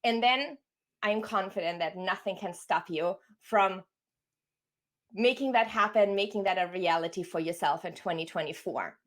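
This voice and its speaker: A woman's voice: mid-range voice, moderate pace, a bit bright. An enthusiastic, effusive woman from Germany, talking conversationally as a career coach hosting a live online workshop.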